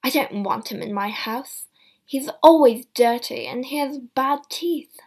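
A woman's voice: sits high, expressive, measured pace, gravelly, heavily acted. A woman voicing a furious fifty-year-old mother. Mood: angry scolding. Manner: loud, scolding, bitter. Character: indignant fifty-year-old mother, angry about money.

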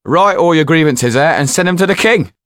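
A man's voice: a little high, expressive, a little fast, fairly bright tone, no echo, clean studio sound, voice pushed up. A man voicing a teasing bald-headed man in an audiobook, mocking and laughing.

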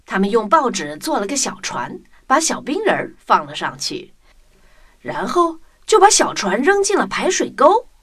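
A woman narrating a scripted children's story in an expressive storytelling manner. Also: fairly high pitch, normal speed, slightly rough.

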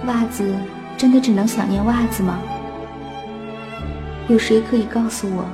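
Woman as high-voiced wistful little sock girl. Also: fairly even intonation, normal speed, echoey room, music in the background, lightly acted. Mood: melancholy pondering. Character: wistful young female left-foot sock, lovesick and innocent. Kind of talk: Chinese radio drama acting with inner monologue.

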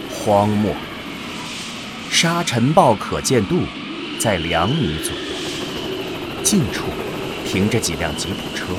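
A man reading scripted narration for a science fiction audio drama in a deliberate, dramatic way. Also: fairly low pitch.

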